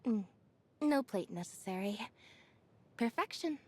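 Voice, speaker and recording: A woman voicing a calm, assured gourmet in a video game, with a measured, savoring delivery. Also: fairly high pitch, slightly rough. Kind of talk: scripted game character monologue.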